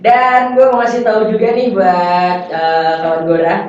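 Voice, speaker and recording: A man's voice: high voice, a little slow, a little mellow. A young man, hosting and interviewing in a casual podcast style. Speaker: podcast host. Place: indoor podcast studio. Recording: echoey room, far from mic, dull, faint noise.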